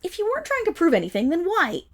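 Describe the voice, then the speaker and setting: A woman's voice: very high-pitched, huge pitch swings, quick pace, exaggerated voice. A woman playing a haughty, indignant young wolf monstergirl of noble birth in a dramatic ASMR roleplay, speaking disdainfully straight to the listener. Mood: indignant, mocking.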